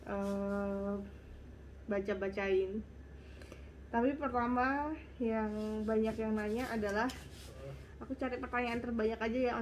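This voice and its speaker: A woman's voice: fairly high pitch, darkish tone, slight background noise, poor audio. Congested young woman chatting at home. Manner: chatty, reads questions out. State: congested, nasal voice; short of breath. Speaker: vlogger. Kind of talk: casual Q and A chat.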